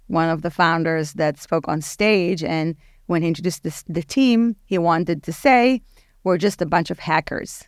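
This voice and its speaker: Woman, nonprofit head, candid and chatty, smooth clear tone, dry close mic. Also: deep voice, no echo. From Israel. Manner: candid, frank and animated, with many fillers. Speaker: podcast guest, nonprofit executive director. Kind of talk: casual interview talk.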